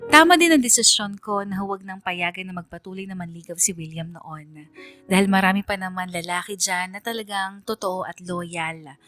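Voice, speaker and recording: A woman's voice: fairly low pitch, normal speed, sharp tone, dry recording, faint music behind. A woman playing a reflective, loyal young woman who narrates her story in a radio drama, earnest and confessional. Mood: content, resolute.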